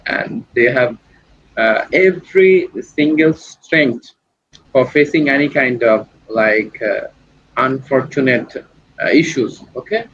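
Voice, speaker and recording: A man's voice: medium pitch, slightly rough. A young man from Bangladesh, a university student, speaking hesitant English on a video call. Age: young adult. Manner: hesitant, non-native English with restarts. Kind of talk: casual video call chat. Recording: dull lo-fi call audio with faint noise.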